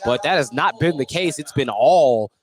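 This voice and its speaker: A man with an American accent and a fairly high voice calls basketball live on the radio. He works as the play-by-play announcer, following the ball in short phrases. A little music sits behind him. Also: lively intonation, moderate pace.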